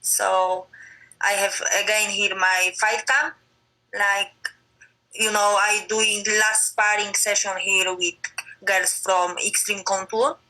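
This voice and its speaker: A woman from Poland with a Polish accent, answering interview questions in simple, halting English with frequent fillers. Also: medium pitch, level tone, sharp tone, low-quality recording. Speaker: MMA fighter.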